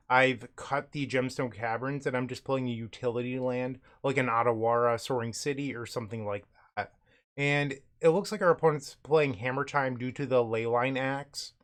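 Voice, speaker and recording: A man's voice: medium pitch, fairly bright tone. A man hosting casual card game commentary, his voice a bit cracky and unsteady as he recovers from being sick. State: recovering from illness, voice cracks and cuts in and out. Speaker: host, card game streamer.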